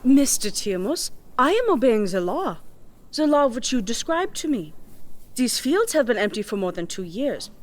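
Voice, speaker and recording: Defiant high-voiced young farmer. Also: female voice, very animated. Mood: calm defiance. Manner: measured, formal, politely sardonic, uncontracted speech. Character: composed, defiant young village farmer woman. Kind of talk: fantasy audio drama acting.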